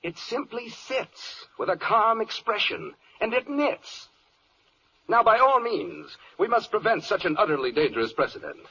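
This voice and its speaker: Fretful, wildly swooping cosmic bureaucrat. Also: male voice, sits high, big pitch swings, unhurried, gravelly, sharp tone, breathy edge, full character voice. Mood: agitated, official. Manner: dithering, self-muttering, exclamatory, speaking in rhyme. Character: flustered, fussy cosmic official, male, fretful and panicky. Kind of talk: 1940s comic radio acting. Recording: dull, noisy vintage 1940s radio recording.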